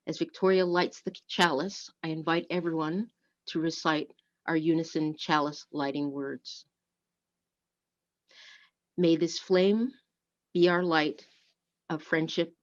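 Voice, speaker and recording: A woman leading a church service, speaking and reading in a measured, deliberate way. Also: low-pitched. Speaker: worship service leader. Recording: dull, lo-fi online audio.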